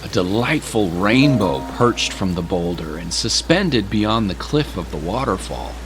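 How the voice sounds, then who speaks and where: A man narrating a scripted story in a measured, literary storytelling manner. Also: fairly low pitch, lively intonation, music in the background.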